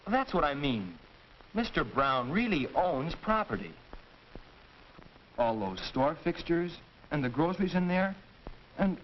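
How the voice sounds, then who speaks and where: Earnest teen schoolboy male actor, 1940s classroom film, emphatic and animated, dull old soundtrack. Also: mid-range voice, a little hiss or hum, natural voice. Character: earnest teenage high-school boy explaining his point. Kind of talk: 1940s educational film acting, scripted discussion.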